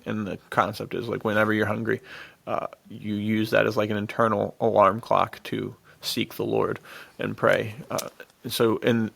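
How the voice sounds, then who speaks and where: Man, very creaky gravelly voice, lowish pitch, casual podcast chat, informal and rambling. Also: fairly bright tone, no room sound. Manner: informal, rambling, peppers talk with "dude". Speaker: podcast co-host. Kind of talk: casual chat about adventure fiction.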